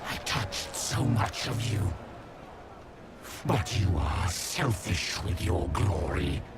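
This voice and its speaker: An actor voicing a bitter, grandiose envy demon in a video game, with slow, theatrical menace. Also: male voice, sits low, animated. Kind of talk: dramatic video game voice acting. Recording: loud music under the voice.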